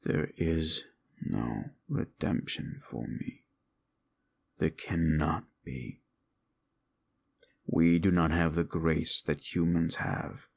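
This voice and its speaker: A man playing a haughty, seductive fallen-angel demon in an intimate audio roleplay, with a gravelly voice and archly formal, taunting delivery. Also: heavily acted. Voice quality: gravelly, creaky low voice. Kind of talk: intimate second-person audio roleplay acting.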